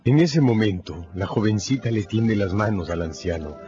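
A man narrating a radio novel in a scripted, dramatic storytelling style with measured phrasing. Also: on the low side, lively intonation, lo-fi sound, music in the background.

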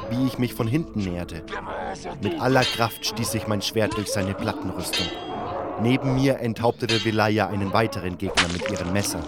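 A man narrating a fantasy audio drama from a script as a first-person storyteller. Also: lowish voice. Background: prominent music.

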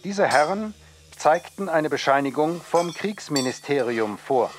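A man giving a formal scripted reading of documents in a measured, official way. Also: on the high side. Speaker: reader of historical documents.

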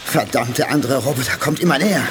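A man's voice: mid-range voice, animated, fast, background noise, close to an everyday voice. An actor playing a brash, impatient male crewman who blurts out alarm, in a 1980s radio drama.

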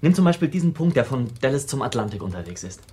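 A man's voice: medium pitch, big pitch swings, quick pace, mellow, husky. An actor playing a confident male computer insider in a German radio play, explaining things matter-of-factly. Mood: matter-of-fact, assured. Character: Chris, confident young male computer insider showing a secret control room.

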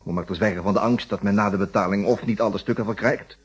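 A man's voice: lowish voice, steady tone, quick pace, breathy edge. An actor playing a wry, questioning middle-aged lawyer in a Dutch radio detective play, musing and often repeating his words hesitantly. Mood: questioning, doubtful. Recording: dull, muffled old radio recording.

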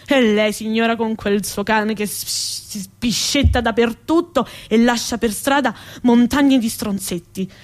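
A woman's voice: a little high, natural voice. A woman performing a comic theatrical monologue as an indignant, sarcastic, foul-mouthed female rat who sees herself as a proper lady. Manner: ranting, sarcastic, emphatic.

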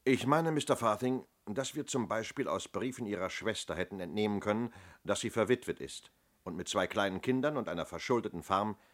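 An actor playing a methodical, fair-minded police inspector in a German radio play, measured, matter-of-fact and probing. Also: male voice, on the low side, animated, lightly acted. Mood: probing, calm.

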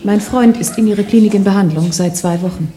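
An actress plays a worried, persistent girlfriend in a radio play. Her voice is mellow and of medium pitch. She is polite and composed, with a skeptical, questioning manner. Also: fairly quick, natural voice. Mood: polite, reserved. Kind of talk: 1990s radio drama acting.

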